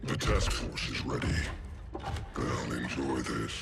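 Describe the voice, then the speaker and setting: An actor playing a cold, menacing villain commander in a video game, with a gravelly voice. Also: male voice, rumbling low, animated, slow, background noise, heavily acted.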